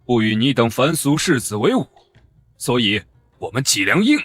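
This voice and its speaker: An actor playing a proud, righteous, unbending scholar in a historical comic drama, oratorical and haughty. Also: male voice, mid-range voice, very animated, moderate pace, fairly bright tone, no echo, faint music behind.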